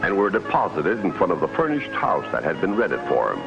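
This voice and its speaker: A man's voice: low voice, wildly swooping pitch, fairly quick, creaky, exaggerated voice. An American man as a folksy storyteller giving scripted narration for a 1950s television western. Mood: storytelling. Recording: dull vintage TV soundtrack, loud music.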